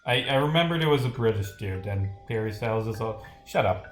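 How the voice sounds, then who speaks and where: A man's voice: fairly low pitch, lively intonation, fairly quick. Male game developer on stream, off-the-cuff and rambling; roomy sound over music. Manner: rambling, thinking out loud. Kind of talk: casual livestream commentary, reading script lines aloud.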